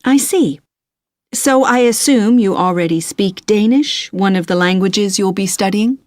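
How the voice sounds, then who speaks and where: A woman playing a courteous, methodical university tutor in a scripted listening-test dialogue, measured and encouraging in her advice. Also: medium pitch, a little mellow, no echo, studio quality, natural voice. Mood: courteous, advisory.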